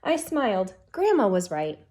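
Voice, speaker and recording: A woman reading a children's picture book aloud expressively, as a teacher who pauses to put questions to young listeners. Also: mid-range voice, big pitch swings, warm tone.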